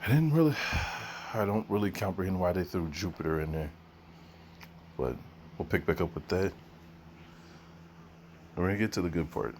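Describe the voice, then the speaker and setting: A man's voice: low-pitched, animated, normal speed, long pauses, darkish tone, slight background noise. A man hosting, commenting casually on a text being read aloud, musing and conversational. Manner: musing, conversational, with fillers and asides.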